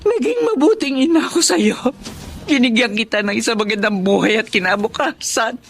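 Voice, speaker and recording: Wounded wealthy matron, melodramatic and high-voiced, Filipino radio drama. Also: female voice, expressive, moderate pace, soft background music. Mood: anguished, reproachful. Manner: emotional, melodramatic. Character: hurt, outraged wealthy mother, pleading and reproachful.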